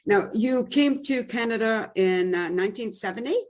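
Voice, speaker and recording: A woman's voice: a little high, moderate pace. A woman interviewing a guest in a casual chat, encouraging him with short affirmations.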